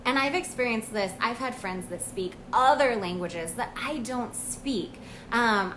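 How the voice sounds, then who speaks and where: An American woman, a language coach, talking about her own experience in a casual, earnest vlog monologue with frequent pauses and ums. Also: on the high side, noisy background. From United States. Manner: earnest, reflective, with pauses and fillers.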